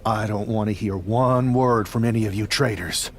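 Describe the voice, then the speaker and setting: A man's voice: on the low side, fairly even intonation, normal speed, close to an everyday voice. A man playing a confrontational, scornful, hot-tempered wrestler in a video game. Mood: angry, defiant. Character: defiant, hot-tempered loyalist male wrestler. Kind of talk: video game cutscene dialogue.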